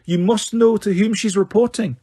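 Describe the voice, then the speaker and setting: A man voicing a stern, brisk older female teacher who snaps her questions. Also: high-pitched, fairly even intonation, warm tone, breathy edge, pitched above the performer's own voice. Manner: brisk and snapping.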